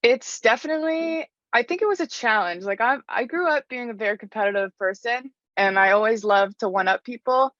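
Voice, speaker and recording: A woman's voice: on the high side, fairly quick, few pauses, a bit bright, no room sound, lo-fi sound. A young woman from Canada, talking casually about her trade in an interview, full of "like" fillers. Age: young adult. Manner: chatty, frequent "like" fillers. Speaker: interview guest, stone mason.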